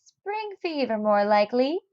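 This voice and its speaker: A woman's voice: on the high side, very animated, measured pace, no room sound, highs cut off, voice pushed up. A woman voicing a fussy, disapproving female animal neighbour in a storybook, scolding and emphatic. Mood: scolding warning.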